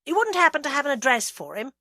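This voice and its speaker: A woman voicing a brisk, demanding middle-aged businesswoman who snaps back defensively. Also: high-pitched, sing-song, sharp tone, no echo, clean studio sound, pitched above the performer's own voice, exaggerated voice. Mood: sharp.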